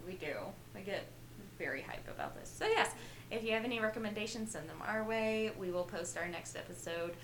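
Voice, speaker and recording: A young woman co-hosts a casual film podcast. Her voice has a pronounced creaky vocal fry, and she talks in an informal, bubbly way. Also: medium pitch, fairly quick, a bit bright, roomy sound, a little hiss or hum, poor audio. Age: young adult. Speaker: podcast co-host. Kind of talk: casual film review chat. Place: on a bed in a bedroom.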